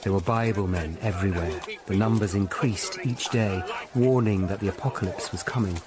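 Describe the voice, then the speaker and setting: An actor playing a grave, observant Tudor lawyer who narrates his own story in measured, literate phrases for a radio drama. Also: fairly low pitch, crowd noise, lightly acted. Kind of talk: scripted first-person radio drama narration.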